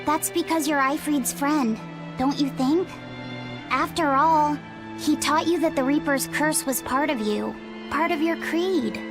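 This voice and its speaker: High, earnest young boy. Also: measured pace. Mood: encouraging. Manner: sincere, caring, youthful. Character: earnest, kind young boy learning to steer his life. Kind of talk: English-dubbed video game cutscene acting. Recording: dramatic game music behind the voice.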